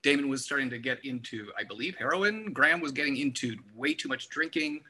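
Casting: male music podcast co-host, piercingly bright with a rough edge, informed and explanatory. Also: medium pitch, animated, a little fast.

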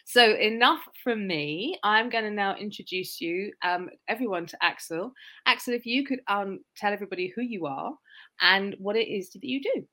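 Bright-voiced chatty woman podcast host. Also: medium pitch, expressive, normal speed. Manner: chatty, self-deprecating, many "um" fillers. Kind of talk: casual interview chat.

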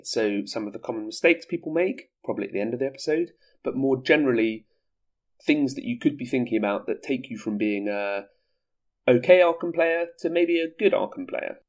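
A man hosting a casual podcast chat, sounding a little congested from a cold and explaining things at length. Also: medium pitch. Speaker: podcast host.

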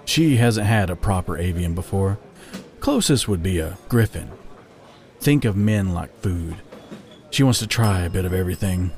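Man playing a low, blunt, dominant animal husband. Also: normal speed. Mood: amused. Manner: flat, blunt, dry.

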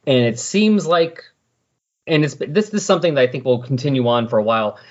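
A man's voice: mid-range voice, fairly quick. A man hosting a podcast in casual chat, rambling and correcting himself as he recaps, on a dull, lo-fi recording. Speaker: podcast host. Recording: dull, lo-fi sound.

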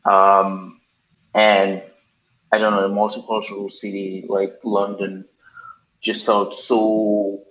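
A man's voice: fairly low pitch, completely flat delivery, slow, a bit bright. A man in his thirties from Cameroon, a podcast guest telling his story casually, with many ums and restarts. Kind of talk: casual interview answers. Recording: roomy, dull remote recording with faint noise.

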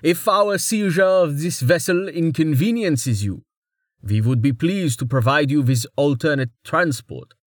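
Guy doing a stuffy polite bird lord, kinda higher voice, very formal. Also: expressive, measured pace, dry recording, clean studio sound. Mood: pompous. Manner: stiff, courteous, pompous. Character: winged bird-man lord, haughty and formal.

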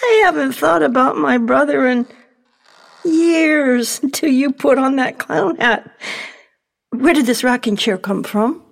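A woman acting in an audio drama as a frail, fearful elderly widow, speaking in a hesitant, gentle, wistful way. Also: high-pitched, measured pace, gravelly. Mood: uneasy, remembering.

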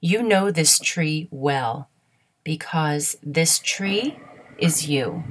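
A woman leading a guided meditation in a soothing, measured, instructional way. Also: low voice, a little hiss or hum.

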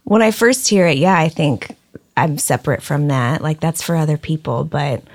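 Slightly low-voiced Californian woman. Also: normal speed, a little mellow. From Orange County, California. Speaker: podcast guest. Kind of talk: casual chat.

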